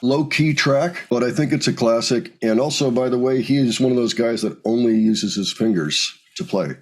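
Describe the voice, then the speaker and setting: A man's voice: medium pitch, moderate pace. A middle-aged man chatting casually and thoughtfully as he hosts a music reaction show, rambling a little as he introduces things.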